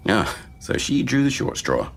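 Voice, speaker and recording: A man playing a sardonic doctor in his fifties, deadpan and wry, in a screenplay reading. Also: unhurried, gravelly, in a whisper. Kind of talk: screenplay table read. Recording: faint low rumbling noise.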